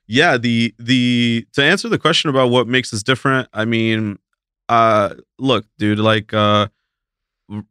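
A man's voice: medium pitch, a bit bright, smooth, no echo, clean studio sound. A man from Mexico talking casually as a guest in an interview, easygoing and full of fillers and restarts. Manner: laid-back, filler-heavy, restarts a lot. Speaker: guest, talent agency principal.

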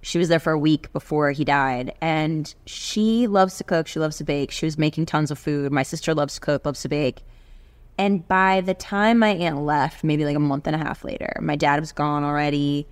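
A woman's voice: sits low, normal speed, smooth. A woman in her thirties whose first language was Spanish, speaking earnestly and reflectively as a guest in a casual conversation. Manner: earnest, reflective, many fillers.

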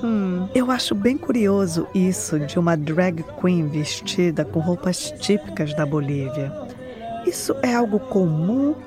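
A female podcast host asks questions in an unhurried, inquisitive way and comments on the story. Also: on the low side, expressive, music in the background. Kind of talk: scripted podcast conversation.

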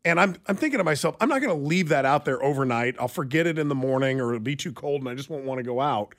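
A man's voice: mid-range voice, animated, fast. An American man co-hosting a radio show in casual banter, telling stories in a wry, rambling way.

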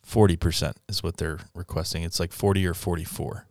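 A deep-voiced man making side remarks. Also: steady tone, fairly quick, darkish tone, no echo, studio quality. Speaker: podcast co-host. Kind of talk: casual podcast chat.

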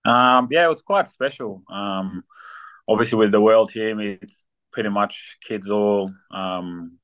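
A young man from South Sudan, a guest athlete chatting casually in an interview, relaxed and full of ums. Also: lowish voice, slow. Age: young adult. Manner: relaxed, many ums and fillers.

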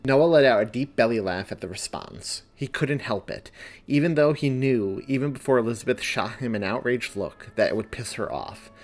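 A man reading his own story as a scripted audiobook narration, in an expressive, deliberate way. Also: mid-range voice.

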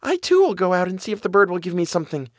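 A man's voice: sits high, big pitch swings, rapid-fire, dry recording, clean studio sound, voice pushed up. A man voicing a sweet, tender young girl in a fairy tale, light and childlike. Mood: hopeful.

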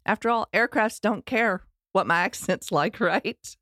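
A woman's voice: medium pitch, unhurried, fairly bright tone, dry recording, clean studio sound. A middle-aged woman with a Texan accent telling her personal story in a candid, earnest way, with touches of self-deprecating humor. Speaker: speaker sharing her life story.

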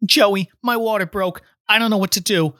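A woman's voice: medium pitch, moderate pace, a bit bright, no echo, clean studio sound, voice pushed up, lightly acted. A man voicing a panicked pregnant woman, breathless and pleading, in an audiobook story.

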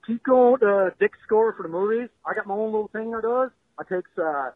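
A man's voice: sits high, no room sound. Newfoundland man on a tinny phone line. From Newfoundland, Canada. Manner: thick accent, friendly and rambling with many fillers. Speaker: caller. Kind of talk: voicemail call-in.

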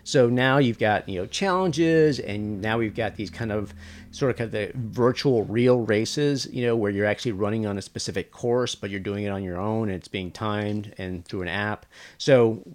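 A man's voice: lowish voice, moderate pace. A man co-hosting a podcast, chatting casually and rambling with lots of fillers. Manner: rambling, with many fillers and restarts. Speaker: podcast co-host.

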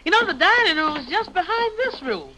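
An actress playing a hospitable, chatty American housekeeper with homely speech in an old radio drama. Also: female voice, very high-pitched, big pitch swings, fairly quick. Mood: cheerful, hospitable. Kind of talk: 1950 radio acting. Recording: noisy old 1950 radio recording.

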